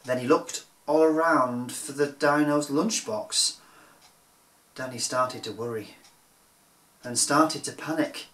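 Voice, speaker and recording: A man reading a rhyming children's picture book aloud in a theatrical, deliberate manner. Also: medium pitch, a bit bright, reverberant. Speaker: children's storyteller.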